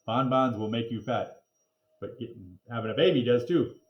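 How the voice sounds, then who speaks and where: A man's voice: medium pitch, normal speed, highs cut off. A man reading a children's storybook aloud, with casual asides and simple explanations for young listeners. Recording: echoey, roomy sound.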